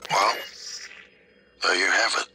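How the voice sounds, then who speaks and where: Deep, sharp, resigned executive. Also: male voice, steady tone, slow, a little hiss or hum, heavily acted. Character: weary, grave male research station executive.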